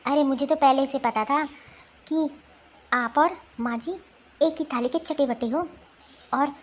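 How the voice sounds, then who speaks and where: A woman voicing an angry, sharp-tongued woman scolding relatives in a family drama cartoon. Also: high-pitched. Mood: indignant. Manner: scolding, indignant. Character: angry, sharp-tongued Indian women quarrelling in a family feud.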